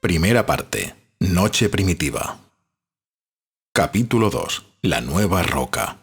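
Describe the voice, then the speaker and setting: A man from reads literary fiction as a scripted audiobook narration, in a measured, expressive storytelling manner. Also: low voice, gravelly, breathy edge. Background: background music.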